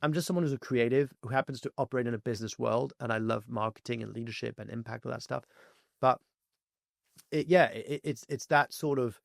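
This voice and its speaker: A man in his forties from France, telling stories as a podcast guest in a fast, animated way with many fillers. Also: mid-range voice, creaky, no room sound, studio quality. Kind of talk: casual interview chat.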